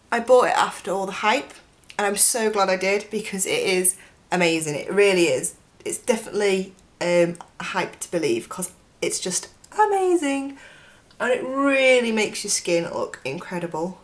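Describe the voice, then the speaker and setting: Croaky young woman chatting through makeup. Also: mid-range voice. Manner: chatty, gushing, lots of "like" and "um". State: croaky from a chest infection. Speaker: beauty vlogger. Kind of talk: makeup tutorial chat. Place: bedroom with a dressing table, indoors.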